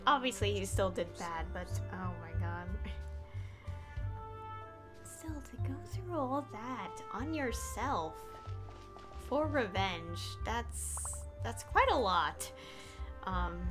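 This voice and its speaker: A woman streaming a game, giving casual commentary in a hesitant, rambling way that often trails off. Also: medium pitch. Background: game music.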